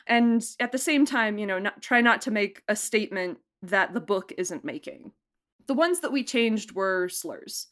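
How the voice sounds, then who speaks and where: A woman in a relaxed interview talks about producing and directing an audio drama, with a medium-pitched voice. She is chatty, often breaks into laughter and fills her sentences with "like.". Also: measured pace. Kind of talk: casual interview answers.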